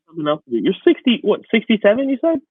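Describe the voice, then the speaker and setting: Male co-host, medium pitch, dry phone-like sound, colloquial and emphatic casual chat. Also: wildly swooping pitch, racing, breathy edge, no echo. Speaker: podcast co-host. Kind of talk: casual conversational podcast chat. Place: remote, away from studio. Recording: tinny, phone-like internet call audio.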